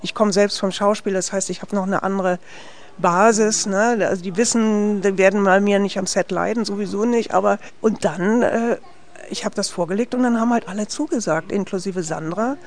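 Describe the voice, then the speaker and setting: A woman's voice: mid-range voice, normal speed. A woman from West Berlin, a film director, answering interview questions in a chatty way. She tags her sentences with a questioning "ne?" and restarts her sentences often.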